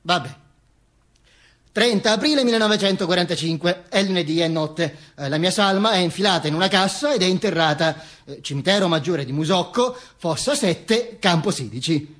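A vain dictator speaking from beyond the grave. The voice is notably high for a man and rough-edged, husky. He holds forth pompously in a theatrical monologue, stumbling and repeating words. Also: animated, a bit bright. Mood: wry, reminiscing. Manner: pompous, halting, with stammered repetitions and asides. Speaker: stage actor performing a monologue.

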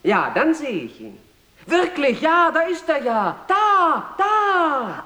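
A woman's voice: high voice, huge pitch swings, slow, pushed and bright, heavily acted. A woman playing a sleepy, frightened child in a poetic radio play, speaking in short incantatory phrases. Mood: pleading. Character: sleepy child remembering a frightening night, pleading.

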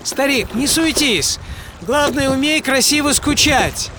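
A man's voice: very high-pitched, animated, a little slow, background noise, pitched above the performer's own voice. A man playing a witty, ironic English physicist reflecting on his life in a theatrical radio monologue. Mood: languid, snobbish. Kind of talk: dramatised first-person monologue, radio play.

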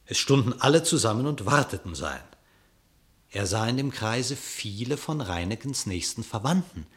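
A man narrating an epic verse tale for a radio play, measured and solemn. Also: medium pitch, very animated.

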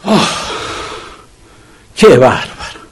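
An Argentine man playing a gloomy, soft-hearted dog owner in a comic radio drama, colloquial and repeating his words. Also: medium pitch, huge pitch swings, extremely slow, breathy edge, exaggerated voice. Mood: mournful, resigned. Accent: Argentine Rioplatense. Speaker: radio comedy actor.

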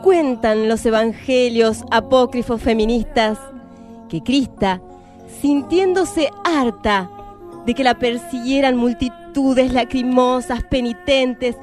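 A woman's voice: fairly high pitch, takes it slowly, darkish tone, soft background music. A woman with an Argentine accent narrating a satirical story in an ironic storytelling manner, in scripted narration.

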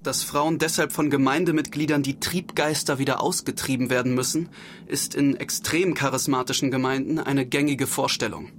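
A man's voice: mid-range voice, fairly even intonation, normal speed. A man explaining something in formal, factual wording.

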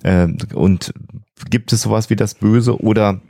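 A man's voice: lowish voice, fairly even intonation, unhurried, creaky, mellow, no echo, studio quality. A man co-hosting a podcast, explaining ideas in a conversational way. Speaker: podcast co-host.

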